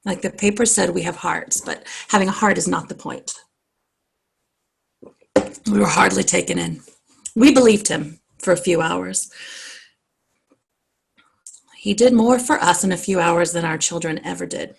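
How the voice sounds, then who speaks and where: A cultured, wealthy society wife, played by a woman, speaks in an impassioned theatre monologue. Her voice is husky and creaky. She is intense and reflective, weighing her words with deliberate pauses. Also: lowish voice, full character voice. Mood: earnest, wounded. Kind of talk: dramatic stage monologue. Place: online video call.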